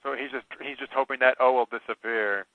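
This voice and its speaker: Man, mid-pitched voice, reads quoted passages, dry tinny radio sound. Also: fairly even intonation, brisk, dry recording. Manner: reads quoted charges aloud, brief interjections. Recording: tinny phone-line radio audio, dry.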